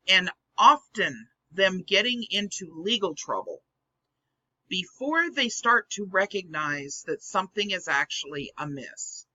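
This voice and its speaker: A vlog host with a hoarse, scratchy voice, earnestly explaining the psychology behind the topic in casual commentary. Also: medium pitch, pushed and bright. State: hoarse, losing voice. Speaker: channel host. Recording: dull lo-fi recording.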